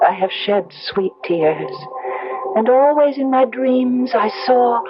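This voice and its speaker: A woman's voice: fairly high pitch, animated, slow. A woman acting a sorrowful, entranced young bride with lyrical, yearning delivery in an old-time radio drama. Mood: dreamy, yearning. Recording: muffled old radio recording, loud music behind.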